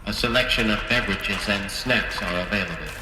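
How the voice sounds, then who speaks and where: A man's voice: fairly low pitch, a little fast, noisy background, natural voice. A man voicing a polite, impersonal facility computer in a video game, making formal automated announcements. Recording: echoey hall, music behind.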